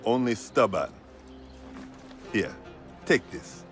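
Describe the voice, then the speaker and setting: An actor playing a gruff, stubborn rebel leader in a game cutscene, blunt, wry and commanding. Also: male voice, mid-range voice, lively intonation, slow pace, fairly bright tone, faint music behind. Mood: grave, commanding. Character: gruff, stubborn male rebel fighter leading his men. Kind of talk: video game cutscene acting.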